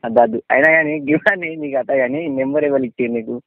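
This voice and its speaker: A man's voice: mid-range voice, racing, no room sound. A man with a Telangana Telugu accent chats casually on the phone, blunt, wary and full of questions. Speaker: friend receiving a prank phone call. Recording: tinny, dry, band-limited phone line.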